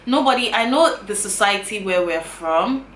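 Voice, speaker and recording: A woman's voice: mid-range voice, expressive, normal speed, a little hiss or hum. A woman in her twenties talking casually to camera, giving earnest advice and often repeating her phrases. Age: 20s. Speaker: YouTuber. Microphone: camera mic. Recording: bright, roomy sound with faint ambience.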